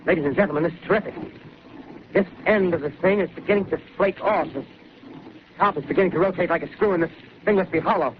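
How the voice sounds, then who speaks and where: A man's voice: high-pitched, lightly acted. A man playing a frantic field radio reporter. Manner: urgent, halting live commentary, growing frantic. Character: excitable on-the-spot radio reporter, adult man. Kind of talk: 1930s radio drama acting. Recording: dull, hissy 1930s radio broadcast.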